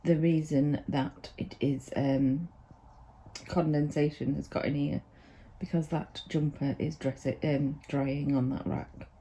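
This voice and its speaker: A woman vlogging from a cold sunroom at home first thing in the morning, chatting in a rambling way with plenty of ums, her voice still croaky from sleep. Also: low voice, fairly even intonation, slow pace, mellow. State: croaky, just woken up. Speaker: vlogger, mother. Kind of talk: casual morning vlog chat to camera.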